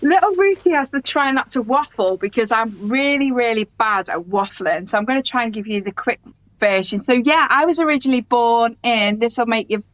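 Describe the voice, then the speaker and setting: A woman's voice: a little high, brisk, a bit bright, no echo, lo-fi sound. A middle-aged woman, chatting as a guest in a rambling, laughing way. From English Midlands. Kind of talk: casual conversation.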